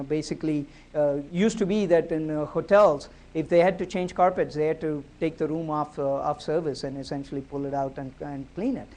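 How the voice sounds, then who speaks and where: A man giving a public talk at a university, amplified through the room's sound system, speaking haltingly with many fillers and restarts. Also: on the high side, dark tone. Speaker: guest speaker at a university lecture. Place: lecture room at a university. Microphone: amplified through a room speaker system.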